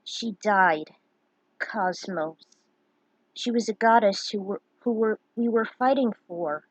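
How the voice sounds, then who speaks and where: A woman's voice: mid-range voice, slow pace, no echo, poor audio. A woman voicing a bashful young male hero who talks in an earnest, hesitant way. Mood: sombre.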